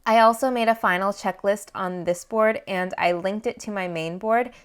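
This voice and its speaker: A woman's voice: medium pitch, normal speed. A woman artist talking through her book planning in a casual, explanatory vlog voice, often filling gaps with "like". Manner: relaxed, chatty, frequent "like" fillers. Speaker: artist and vlogger.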